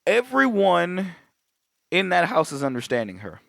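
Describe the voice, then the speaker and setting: A man's voice: high-pitched, huge pitch swings, a little slow, gravelly, fairly bright tone, no room sound, clean studio sound. A young man co-hosting a podcast, rambling in casual chat with many restarts. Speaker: podcast co-host.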